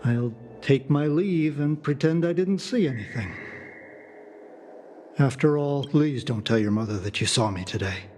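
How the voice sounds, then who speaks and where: A man voicing a polite, dutiful aide in a video game, courteous and formal, with gentle concern. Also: medium pitch, unhurried, a little hiss or hum, natural voice. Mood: deferential, concerned. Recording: clean game dialogue over background music.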